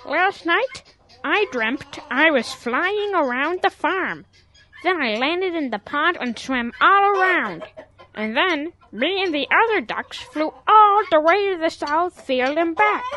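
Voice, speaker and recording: Woman as a high, childlike, happy young calf. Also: lively intonation, slow pace, sharp tone, slight background noise, full character voice. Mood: excited. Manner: naive, enthusiastic. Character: childlike, happy young male cow who thinks he's a duck. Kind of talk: comic audio drama acting.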